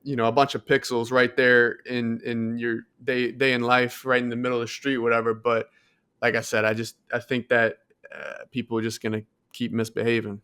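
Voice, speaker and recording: A man's voice: mid-range voice, flat delivery, moderate pace, sharp tone, clean tone. A man co-hosting a podcast in casual, reflective conversation. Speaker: podcast co-host. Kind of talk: casual chat.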